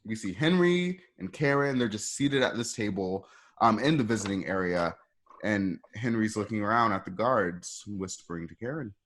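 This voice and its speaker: Male narrator, lowish voice, informal and halting, setting scenes for a radio play. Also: a bit bright. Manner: halting, improvised phrasing. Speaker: narrator reading stage directions.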